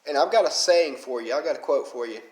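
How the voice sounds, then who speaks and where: A man's voice: fairly high pitch, expressive, quick pace, a little mellow, roomy sound. Rambling man with a strong Alabama drawl. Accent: Southern US (Alabama). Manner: rambling, heavy "you know" fillers, fired up. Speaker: mobile game player. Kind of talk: casual monologue vlog.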